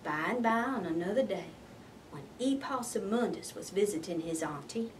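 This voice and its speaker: A woman reading a picture book aloud as expressive narration, like a librarian at story time. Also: mid-range voice, moderate pace, recorded from a distance, slight background noise.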